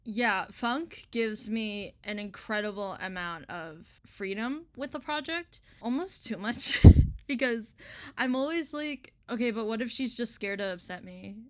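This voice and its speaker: A woman's voice: on the high side, animated, a little slow. A woman chatting informally as an illustrator being interviewed, self-deprecating and giggly. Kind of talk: casual chat interview.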